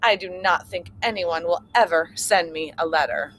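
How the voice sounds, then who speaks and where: A woman's voice: on the low side, moderate pace, fairly bright tone, a little hiss or hum, lightly acted, low-quality recording. A woman voicing a sad, resigned male toad in a children's storybook, with a plaintive manner. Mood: resigned, dismissive.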